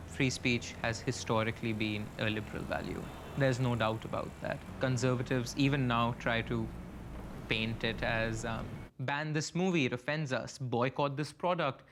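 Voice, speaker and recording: A young man from India, making pointed, measured arguments in a documentary interview. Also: mid-range voice, steady tone, noisy background. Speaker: student interviewee.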